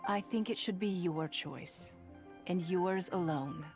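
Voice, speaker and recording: A woman voicing a shrewd, poised noblewoman in an anime game, composed and formal, firm but kindly. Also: on the low side. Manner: composed, formal, measured authority. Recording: music behind the voice.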